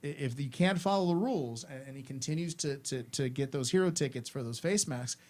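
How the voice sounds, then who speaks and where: A man's voice: medium pitch, brisk, darkish tone. A man hosting a school's afternoon announcements, friendly and instructive, repeating his points to students.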